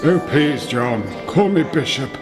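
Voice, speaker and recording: Man playing a smooth bishop in an echoing hall. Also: a little high, expressive, a little slow, music in the background. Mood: smooth, assured. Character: busy, self-assured male bishop, smoothly insistent. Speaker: radio play actor. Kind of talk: radio play acting. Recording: long echoing hall reverb.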